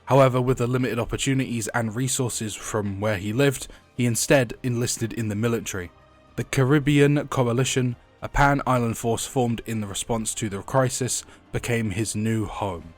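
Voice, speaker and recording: Young guy kinda bunged up from a cold, reading lore out for his video, middle pitch, little bit of music under it. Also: normal speed. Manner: chatty asides while reading lore. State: congested from a head cold. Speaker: YouTube video essayist. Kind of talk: scripted video essay narration.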